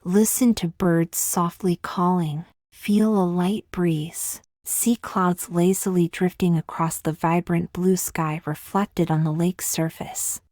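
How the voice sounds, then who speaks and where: Female meditation guide: lowish voice, very unhurried, calm delivery, close dry sound. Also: dry recording. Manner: calm, unhurried, gently measured with long pauses. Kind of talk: guided meditation, scripted soothing narration.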